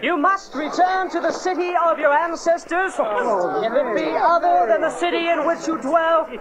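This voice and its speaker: High-pitched, declaiming Roman herald. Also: male voice, lively intonation, moderate pace. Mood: formal, commanding. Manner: loud, formal, declamatory. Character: loud, pompous Roman herald proclaiming an imperial decree. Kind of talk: dramatized audio Bible acting. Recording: muffled cassette, crowd around.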